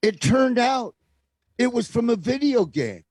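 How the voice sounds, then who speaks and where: A man's voice: extremely high pitch, big pitch swings, unhurried, a little mellow, breathy edge, dry recording, studio quality. A middle-aged American man hosting opinionated talk radio, speaking in an exasperated, emphatic way full of rhetorical questions. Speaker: talk show host.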